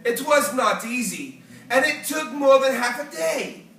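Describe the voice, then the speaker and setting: A storyteller reading a children's picture book aloud with animated, theatrical, deliberate phrasing. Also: high voice, slight background noise. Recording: echoey room, distant mic, faint music.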